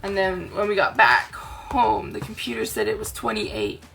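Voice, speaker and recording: A tired woman with a raspy voice, chatting casually as a crafting host, rambling gently. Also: mid-range voice, sing-song, normal speed, faint music behind, rough recording. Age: adult, aunt. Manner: rambling, chatty, soft-spoken. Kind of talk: casual chat to camera.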